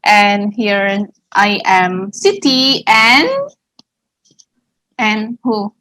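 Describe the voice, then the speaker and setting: A woman's voice: mid-range voice, very slow, pushed and bright, rough recording. A woman from Indonesia, a teacher asking questions in a friendly, curious way, with lots of small acknowledgements. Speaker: English and Indonesian teacher, co-host. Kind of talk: casual conversational Q&A.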